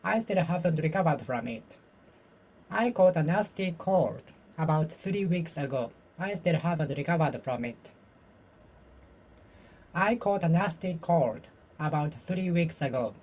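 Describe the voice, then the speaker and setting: A Japanese-speaking learner practicing English alone, slowly and carefully repeating the same sentences, while getting over a cold. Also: mid-range voice, lively intonation, a little mellow. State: recovering from a cold. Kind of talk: self-study speaking practice, repeating phrases aloud.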